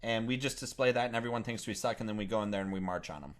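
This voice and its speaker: A man's voice: fairly low pitch, racing. A man co-hosting a gaming podcast, chatting casually. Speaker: podcast co-host.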